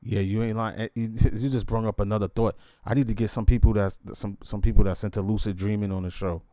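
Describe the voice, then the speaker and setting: A man's voice: fairly low pitch, steady tone, fast talker, mellow, no echo, clean studio sound. An American man hosting a casual interview in slangy, agreeable talk, often chiming in. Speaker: interview host.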